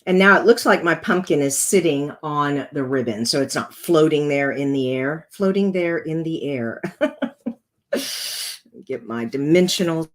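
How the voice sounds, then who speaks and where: A woman with a rough, congested voice hosting a casual card-making tutorial, chatting her way through each step as she works. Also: sits low, lively intonation, unhurried.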